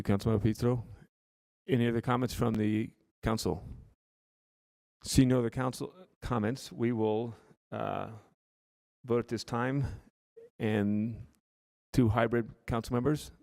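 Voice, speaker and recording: A man chairing a city council meeting, running votes and nominations in a measured, procedural way. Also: lowish voice, flat delivery, gravelly, a little mellow.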